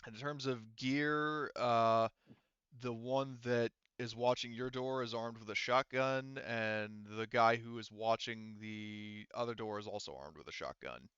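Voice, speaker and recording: Smooth-voiced man running a tabletop game. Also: medium pitch, fairly bright tone, no echo, dull audio. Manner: halting, informal, explains with many asides. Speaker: game master. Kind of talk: casual narrating and rules talk for an online tabletop roleplaying game.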